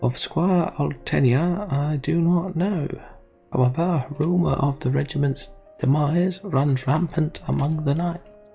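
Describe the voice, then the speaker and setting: A dutiful military engineer in a fantasy game, played by a man, reports in a very creaky voice over music. The delivery is formal and earnest. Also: fairly high pitch, measured pace, dark tone. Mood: grave.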